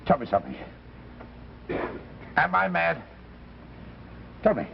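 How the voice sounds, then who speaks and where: An actor playing an obsessed, desperate professor in theatrical, insistent 1950s science fiction drama style. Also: male voice, a little high, wildly swooping pitch, slow pace, gravelly, exaggerated voice. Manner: theatrical, impassioned, insistent rhetoric. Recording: dull, noisy 1950s broadcast recording.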